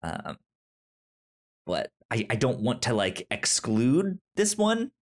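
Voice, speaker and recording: A man co-hosting a casual pop-culture podcast, talking in a halting way with repeated words and trailing sentences. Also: medium pitch, wildly swooping pitch. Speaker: podcast co-host.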